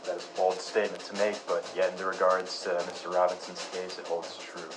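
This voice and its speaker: A low-pitched male voice over music, a man acting a radio show host. He is polite, curious and conversational as he prompts his guests. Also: flat delivery, a little fast, lightly acted, poor audio. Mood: curious, probing. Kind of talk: acted radio interview for a school project.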